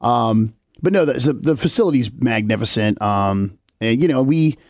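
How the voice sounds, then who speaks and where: Relaxed rambling male podcast guest. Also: medium pitch, moderate pace, no room sound, clean studio sound. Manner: relaxed, rambling, with many fillers. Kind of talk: casual chat.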